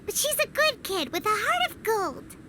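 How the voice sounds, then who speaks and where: A tiny floating sprite girl with a piercingly high, bouncy voice that leaps all over the place. She is excitable and blunt, and she refers to herself by name. Game audio with a faint ambient bed behind her. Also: normal speed, very noisy. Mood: chirpy. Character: tiny floating female companion sprite, chirpy and bossy. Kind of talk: video game voice acting.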